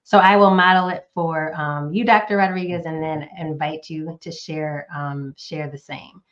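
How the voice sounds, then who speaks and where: A woman in her forties from the Northeast United States, hosting a podcast interview in a measured, welcoming way. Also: deep voice, fairly even intonation. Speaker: podcast host. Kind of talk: scripted, friendly interview hosting. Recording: muffled lo-fi audio.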